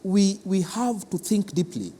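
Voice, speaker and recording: A man with a Kenyan accent explains things as an interviewed official, speaking haltingly and repeating his words. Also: sits high, big pitch swings, warm tone. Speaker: cultural institution official being interviewed. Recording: reverberant hall with clear background noise.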